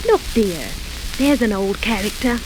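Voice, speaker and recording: An actress playing a cheerful, polite young wife sightseeing in an old-time radio drama. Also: female voice, medium pitch, expressive, a little slow, noisy background, close to an everyday voice. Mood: delighted.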